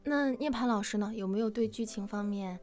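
A woman's voice: mid-range voice, a little fast, a little mellow. A woman hosting an informal live interview as the presenter of an online stream. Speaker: livestream host interviewing voice actors. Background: music.

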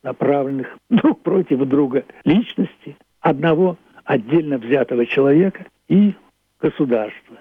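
Elderly male, reflective radio monologue, very lively intonation, emphatic repetitions, dry narrow-band sound. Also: a little high, husky, no echo. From Russia, Leningrad. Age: older, 80s. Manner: deliberate, philosophical, emphatic phrasing with repetitions. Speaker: playwright. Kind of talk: reflective radio monologue about own play and history. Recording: thin phone-like band-limited sound.

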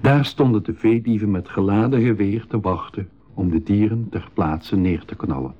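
An actor playing a composed storyteller, narrating an adventure in measured fashion for a 1980s school radio drama. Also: male voice, fairly low pitch, close to an everyday voice. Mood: composed storytelling. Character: man recounting his own past adventure, composed storyteller. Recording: dull 1980s radio recording.

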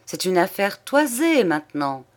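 A woman reading an audiobook, voicing an excitable, talkative old man who is vain and emphatic. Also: fairly low pitch, moderate pace, natural voice. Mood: exalted, boastful. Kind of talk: audiobook character dialogue.

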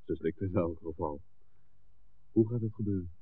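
Deep-voiced, jaunty young man. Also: moderate pace, breathy edge. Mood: casual. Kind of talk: 1960s Dutch radio drama acting. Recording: muffled old radio, loud background noise.

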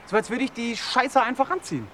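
An actor playing a vulnerable, brooding young outcast in a naturalistic radio drama, speaking in an earnest, halting, searching way. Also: male voice, extremely high pitch, very animated, pushed and bright, slight background noise, exaggerated voice. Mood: anguished.

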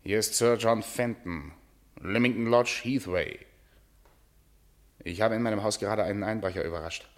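An actor playing a self-assured, coolly superior upper-class gentleman in a German radio play, speaking formally. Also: fairly low pitch, moderate pace, pauses often, close to an everyday voice. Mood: formal, controlled.

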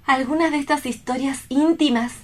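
Actress as young woman reporter, very high voice, tender-hearted, expressive, theatrical radio drama. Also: normal speed, natural voice. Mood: reflective, compassionate. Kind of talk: radio play acting.

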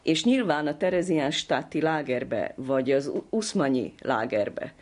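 A woman's voice: deep voice, animated, darkish tone. An older woman speaking as an interviewed ethnographer, telling anecdotes and explaining in conversational interview talk.

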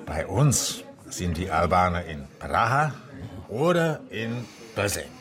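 A man playing a polite, methodical Czech police officer in a radio drama, speaking halting German with a Czech accent. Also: low-pitched, very animated, a bit bright, heavily acted. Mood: earnest. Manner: halting foreigner's German, courteous. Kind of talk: naturalistic radio drama acting.

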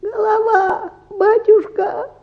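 A woman's voice: very high-pitched, flat delivery, slow, dull audio, exaggerated voice. An actress playing a meek, shrivelled old peasant woman in a radio drama, plaintive and deferential. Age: older. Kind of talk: Russian radio drama acting.

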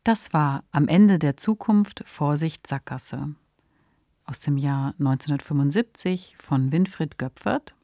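A woman's voice: low-pitched, unhurried, dark tone, dry recording, studio quality. A woman reading closing credits as a formal, scripted radio announcement.

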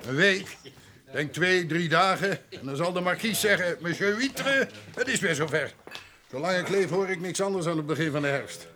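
A man's voice: sits high, expressive, moderate pace, sharp tone. An actor playing a jovial, boastful middle-aged innkeeper and jealous father in a radio drama, garrulous and exclamatory. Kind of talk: Dutch radio drama acting.

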